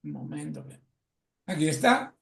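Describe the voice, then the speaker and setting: A man with an Italian accent gives a lecture in Spanish, often halting with fillers and correcting himself. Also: fairly bright tone. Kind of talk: lecture presentation. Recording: echoey room.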